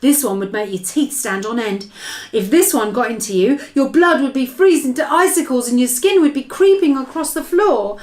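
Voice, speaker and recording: A woman reading a storybook aloud and voicing an excitable, friendly giant who cries out in mangled grammar. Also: sits high, moderate pace, voice pushed up. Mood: grim. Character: big friendly giant, excitable old male.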